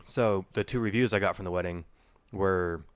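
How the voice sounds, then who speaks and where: A young man with a slight cold and nasal voice, co-hosting a casual podcast chat, often halting and restarting his sentences. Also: low voice, no room sound. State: getting over a cold, a little nasal. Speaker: podcast co-host. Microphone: podcast microphone.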